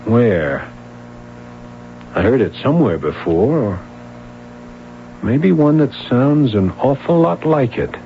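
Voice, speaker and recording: An actor playing a patient, probing police sergeant who speaks laconically, in a 1970s radio drama. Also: male voice, medium pitch, expressive, slow pace, long pauses, noisy background. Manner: laconic, probing, mumbled fillers. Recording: lo-fi old radio broadcast.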